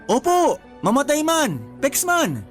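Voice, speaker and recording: A man's voice: very high voice, sing-song, slow pace, faint music behind, full character voice. A young man playing a cajoling, carefree son in a radio drama, playful and polite as he wheedles. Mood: pleading. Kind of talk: Tagalog radio drama acting.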